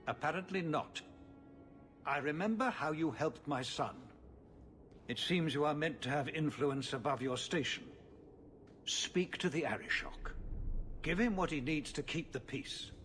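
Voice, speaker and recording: An actor playing a burdened middle-aged city ruler in a fantasy game, speaking in a measured, formal, world-weary way. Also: male voice, a little high, lively intonation, a bit bright, soft background music. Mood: grave, measured. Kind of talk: video game cutscene acting.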